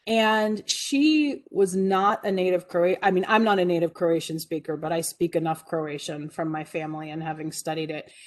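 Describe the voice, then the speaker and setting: A woman in her forties giving a talk, telling a personal story in an earnest, reflective way with frequent fillers and restarts. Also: on the low side. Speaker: guest speaker.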